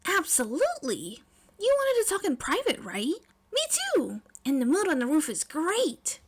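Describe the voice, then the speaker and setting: An exuberant teenage girl inventor, played by a woman, very high in pitch and brash. She talks in loud, emphatic bursts, full of eager confidence, as in a fan-made audio story. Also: unhurried, heavily acted. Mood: excited, eager. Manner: loud, excitable, emphatic delivery. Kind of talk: fan fiction audio drama.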